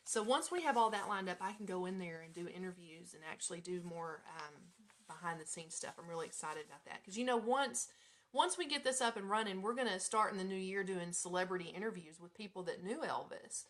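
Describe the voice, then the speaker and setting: A woman's voice: fairly low pitch, a little fast, a bit bright. An American woman hosting a casual live radio show, speaking in an informal, chatty manner as she moves between reading items and talking to listeners. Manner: informal, chatty, breaks into giggles and asides.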